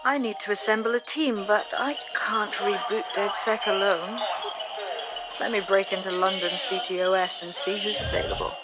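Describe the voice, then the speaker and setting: A woman's voice: medium pitch, fairly even intonation, normal speed, loud music behind the voice, lightly acted. A woman acting a tense, focused hacker who works alone in a video game. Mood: determined.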